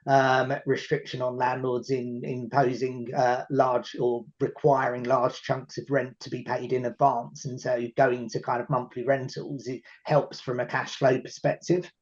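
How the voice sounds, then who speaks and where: A man on a webinar panel commenting conversationally, with halting phrasing and repeated words. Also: medium pitch, flat delivery, lo-fi sound.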